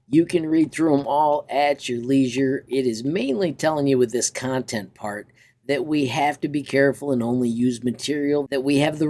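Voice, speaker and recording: A man hosting an informal software tutorial in a chatty, enthusiastic way. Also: mid-range voice. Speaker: tutorial host. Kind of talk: informal software walkthrough with upbeat sign-off.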